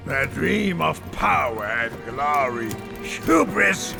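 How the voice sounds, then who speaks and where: An actor playing a bitter, defiant, war-weary career soldier in a game cutscene, slow and scornful, with blunt delivery. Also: male voice, on the high side, very animated, creaky, exaggerated voice. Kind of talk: dramatic game cutscene acting. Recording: dramatic music behind the voice.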